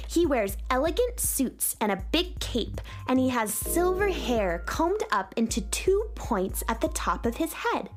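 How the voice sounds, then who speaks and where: A woman playing an earnest young space heroine who narrates her adventures expressively in a children's radio series. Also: high voice. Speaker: narrator and lead character.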